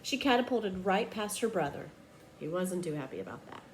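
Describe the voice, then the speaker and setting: Lady teacher reading a kids book out loud, expressive, bit of noise in the recording. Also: lowish voice, brisk, poor audio. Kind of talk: children's picture book read-aloud.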